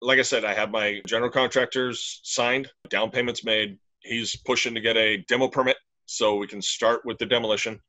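A man's voice: mid-range voice, steady tone, moderate pace, bright, forceful tone. A middle-aged man, a brewery owner, talking casually and in plain detail about his plans over a video call from a small room. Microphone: webcam on a video call.

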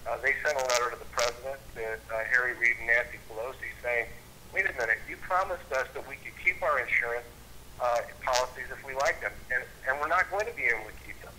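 A man's voice: mid-range voice, fast talker, sharp tone, a little hiss or hum, rough recording. A man speaking on a conference call, conversational and rising on rhetorical questions.

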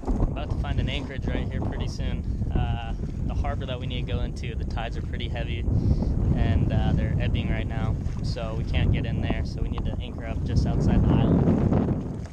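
A man's voice: medium pitch, monotone, moderate pace, lo-fi sound. Easygoing young man on a windy sailboat. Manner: easygoing, joking, explaining plainly. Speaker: vlogger. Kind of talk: casual vlog commentary. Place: on a sailboat outdoors at sea. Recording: heavy wind noise on the mic. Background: wind and water noise.

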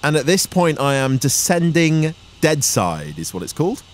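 A man in his thirties giving measured, instructional vlog narration as a student pilot. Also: fairly high pitch. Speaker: student microlight pilot, vlogger. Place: small microlight cockpit in flight. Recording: steady engine and cockpit noise.

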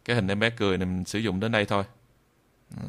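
A man giving an unscripted software tutorial in a hesitant, halting way, often repeating phrases. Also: on the low side, steady tone. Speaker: video tutorial presenter.

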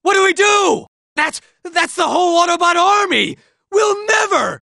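A jittery robot grunt from a video game, high and gravelly, with a sharp, bright edge. He yelps warnings in a wide, bouncing pitch and slips into sarcastic asides between them. The booth is bone dry. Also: expressive, unhurried, dry recording, full character voice. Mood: panicked. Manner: panicky shouting, sarcastic asides. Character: jittery male robot soldier, nervous and boastful.

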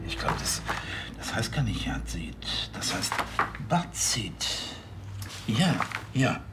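An actor playing a scheming, flustered middle-aged police chief, hesitant and falsely cordial, in a TV drama. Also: male voice, lowish voice, very animated, slow, over loud music. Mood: slyly menacing.

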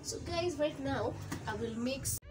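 Chatty Kenyan woman over loud music. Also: high-pitched, a little slow. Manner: chatty, explains step by step, mixes in Swahili phrases. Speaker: vlogger. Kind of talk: casual laundry how-to vlog. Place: small home laundry room.

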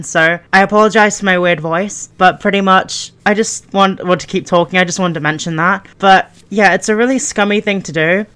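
A woman's voice: mid-range voice, a bit bright, clear, resonant tone. A woman YouTuber giving casual, rambling commentary in an informal way.

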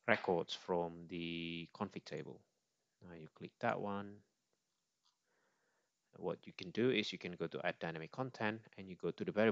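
A man presenting an informal step-by-step software demo, on a slightly dull recording with mouse clicks. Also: deep voice, clean tone, no room sound.

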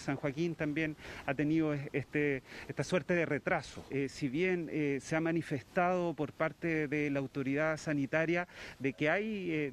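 A Chilean man, a doctor, answers a reporter in measured, hesitant sentences, his voice slightly high. Faint noise sits behind him. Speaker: doctor interviewed. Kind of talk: news interview answer.

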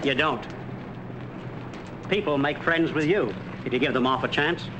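A man's voice: a little high, measured pace, close to an everyday voice. An actor playing a friendly, folksy, plain-spoken middle-aged working man in a 1940s film. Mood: earnest. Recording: noisy old 1940s film soundtrack.

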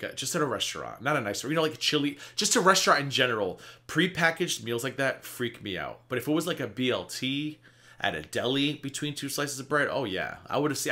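A man's voice: mid-range voice, bright, forceful tone. A man in his thirties hosting a reaction video, talking in a chatty, sarcastic way and swearing freely. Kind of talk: casual reaction commentary. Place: indoor room before a green screen.

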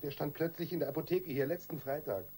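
An actor playing a guilt-ridden, weak-willed husband in a radio crime drama, halting and defensive. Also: male voice, a little high, warm tone, a little hiss or hum, close to an everyday voice. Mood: bitter, defensive.

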